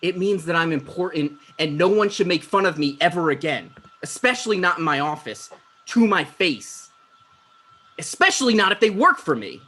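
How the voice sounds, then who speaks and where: A man's voice: a little high, big pitch swings, moderate pace, pushed and bright, exaggerated voice. A man playing an anxious, self-important talk show host in his thirties in a comedy table read, petulant and defensive. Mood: anxious, indignant. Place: video call.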